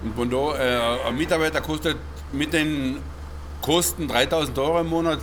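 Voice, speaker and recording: Halting farmer, male. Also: on the high side, steady tone, a bit bright, a little hiss or hum. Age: middle-aged or older. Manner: halting, repeats words while searching. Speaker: dairy farmer, interviewee. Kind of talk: unscripted interview answers.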